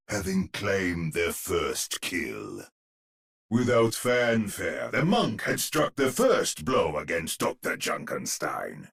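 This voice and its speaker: Game casting: grandiose gothic storyteller knight, low and husky, theatrical swoops, savors every word. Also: male voice, fairly bright tone, exaggerated voice. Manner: pompous, dramatic, savoring words. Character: grandiose old storyteller knight narrating a spooky tale.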